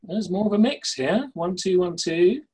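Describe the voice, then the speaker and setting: A middle-aged man hosting an online workshop from a home study, brisk and dry as he guides the group. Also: sits high, animated, a little mellow, slightly rough, rough recording. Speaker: workshop host. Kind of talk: facilitating an online session. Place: home study lined with bookshelves. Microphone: laptop webcam on video call.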